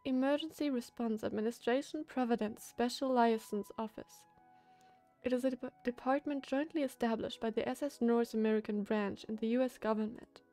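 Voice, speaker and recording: A calm young woman scientist in a visual novel, read by a female streamer. She is quiet and formal, with measured, matter-of-fact delivery. The voice is fairly high. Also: faint music behind, lightly acted.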